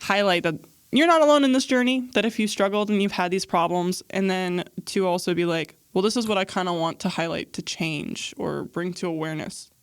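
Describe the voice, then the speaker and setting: A woman's voice: lowish voice, a little fast, clean tone, dry recording. A young woman hosting a casual podcast interview, chatty and slangy, laughing often. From Iraqi family. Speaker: podcast host.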